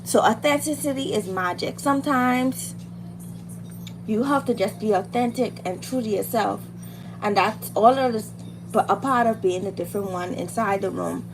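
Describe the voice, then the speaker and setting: A Bahamian woman hosts a casual livestream over noticeable background noise. Her voice sits a little high, and she talks in an informal, motivational girl-talk style, repeating phrases to drive them home. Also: normal speed, gravelly. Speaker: livestream host, beauty and hair content creator. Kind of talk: casual chat while doing makeup, motivational girl talk.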